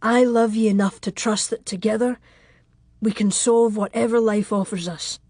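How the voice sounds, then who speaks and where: A woman's voice: mid-range voice, steady tone, normal speed, a little mellow, no room sound, studio quality, natural voice. A woman voicing an earnest, gallant medieval lord. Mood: tender, emotional. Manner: earnest, archaic speech with "ye" and "lass". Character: brave, devoted medieval husband and castle lord, tender yet defiant.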